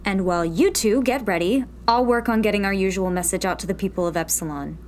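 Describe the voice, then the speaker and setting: A woman's voice: mid-range voice, quick pace, a little hiss or hum, lightly acted. A woman playing a guarded young rebel in hiding, dry and hesitant, in a sci-fi audio drama. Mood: teasing, dry. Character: guarded, wry young female rebel radio host in hiding.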